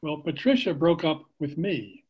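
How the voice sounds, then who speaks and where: A man's voice: a little high, lively intonation, normal speed, a little mellow, husky. A middle-aged actor playing a dad who gets defensive and indignant about his past, on a video call.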